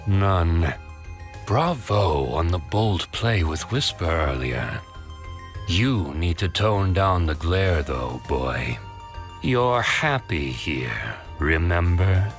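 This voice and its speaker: A man's voice: sits low, sing-song, slow pace, faint music behind, exaggerated voice. A man voicing a sly, calculating octopus infiltrator villain with a controlled, menacing manner, in a cartoon comic dub. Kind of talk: animated comic dub acting.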